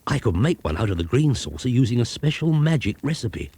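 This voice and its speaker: A man voicing an eager, childlike talking broomstick in a children's storybook, earnest and excitable, on old cassette tape. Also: medium pitch, brisk, husky, pitched above the performer's own voice. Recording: hissy 1980s cassette tape.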